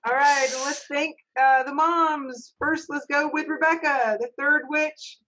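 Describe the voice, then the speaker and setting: Upbeat high-voiced woman hosting a video call. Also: steady tone, bright, forceful tone, rough recording. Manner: upbeat, encouraging, brisk announcing. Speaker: stage manager hosting an online reading. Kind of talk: casual group wrap-up, thanking each reader. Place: home office, indoors. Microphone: webcam on a video call.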